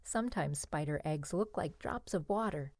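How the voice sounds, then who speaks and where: A woman reading a scripted educational book aloud for children in simple, clearly phrased sentences. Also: low-pitched, lively intonation, normal speed, a little mellow, dry recording, clean studio sound. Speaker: children's audiobook narrator.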